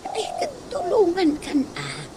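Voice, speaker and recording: A woman playing a trusting, gentle blind friend in a radio comedy drama, with drawn-out phrasing. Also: very high-pitched, huge pitch swings, noisy background, full character voice.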